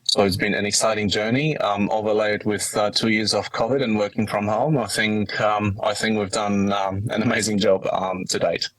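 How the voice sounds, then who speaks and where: A middle-aged man with a Polish accent answering interview questions conversationally, in halting phrases padded with asides. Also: on the low side, level tone, fairly bright tone, no echo, low-quality recording. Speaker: podcast guest, company managing director.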